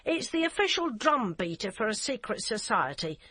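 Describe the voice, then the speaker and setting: A woman's voice: on the high side, sing-song, moderate pace, bright, forceful tone, slightly rough, no room sound, clean studio sound, voice pushed up, exaggerated voice. A woman reading a wry, teasing grown woman.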